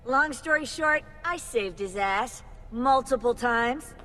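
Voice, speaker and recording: A woman's voice: on the high side, animated, takes it slowly, sharp tone, heavily acted. An actress playing a blunt, friendly, tech-savvy female assassin in a video game, talking casually with a teasing edge. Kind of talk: game cutscene dialogue. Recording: music under the dialogue. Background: music.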